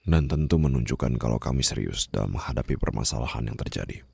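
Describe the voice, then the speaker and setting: Man acting as a very deep, gravelly mafia boss. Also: lively intonation, fast talker, a little mellow, no room sound, exaggerated voice. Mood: stern, accusing. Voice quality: very low, gravelly, husky. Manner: formal, condescending, controlled, mockingly sweet. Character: cold, menacing male mafia boss taunting his captive. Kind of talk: ASMR roleplay acting, addressed to the listener. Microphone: close studio-style microphone.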